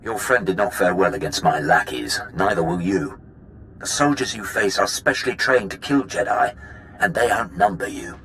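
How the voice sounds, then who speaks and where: A man's voice: deep voice, normal speed, background noise, close to an everyday voice. A man playing an arrogant, menacing Imperial officer in a video game, threatening and commanding. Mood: gloating. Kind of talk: scripted video game acting.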